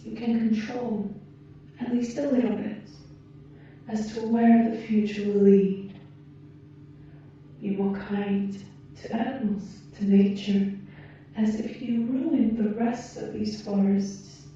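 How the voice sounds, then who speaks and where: A woman playing a gentle, wise alien rescuer in an ASMR roleplay, speaking softly and earnestly in measured, slightly formal phrases. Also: mid-range voice. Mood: gentle, encouraging, then grim warning. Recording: muffled, very echoey, distant, faint background noise.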